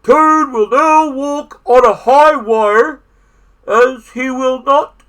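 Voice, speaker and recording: Man putting on a grandiose, high-pitched, drawn-out stage announcer. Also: fairly bright tone, full character voice. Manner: pompous, slow drawn-out proclaiming. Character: booming unseen stage announcer, grandiose and strange.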